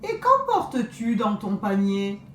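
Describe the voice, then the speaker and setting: A woman's voice: big pitch swings, measured pace, fairly bright tone, slight background noise. A woman doing a greedy sly big grey wolf. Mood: greedy wheedling. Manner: smacking lips, wheedling, shrill bursts. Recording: roomy echo.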